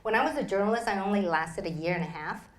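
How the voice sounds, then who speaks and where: Middle-aged woman author from Vietnam, lively storyteller who laughs and mimics others; swings her pitch wide. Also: fast. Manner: animated, laughing, acts out quoted voices. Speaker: author guest. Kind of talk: live Q&A storytelling.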